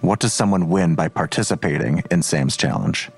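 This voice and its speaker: A man's voice: low-pitched, normal speed, vocal fry, husky, full character voice. An actor playing a skeptical police detective in an audio drama, terse and probing in his questions. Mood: challenging, doubtful. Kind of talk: naturalistic audio drama dialogue.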